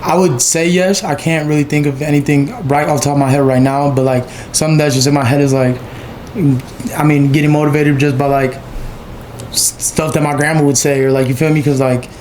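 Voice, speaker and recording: A man speaks in a fairly flat, even tone and rambles through his thoughts with plenty of fillers. He is a podcast guest. The recording carries a roomy echo. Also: fairly high pitch, a little fast, a little hiss or hum. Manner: rambling, many fillers and 'you feel me'. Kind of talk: casual chat. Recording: echoey room.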